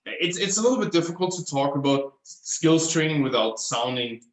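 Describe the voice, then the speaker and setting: A man's voice: fairly high pitch, expressive, normal speed, roomy sound. A man in his thirties from Austria with an Austrian German accent, German his first language, chatting as an interview guest and searching thoughtfully for English words. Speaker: guest craftsman. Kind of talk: casual interview chat. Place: his apartment at home.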